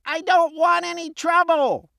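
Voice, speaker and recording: A man voicing a tiny, frightened mudfish in an audiobook, with a squeaky, fearful shout. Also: fairly even intonation, a little slow, vocal fry, no echo, clean studio sound, heavily acted. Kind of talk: audiobook character voice.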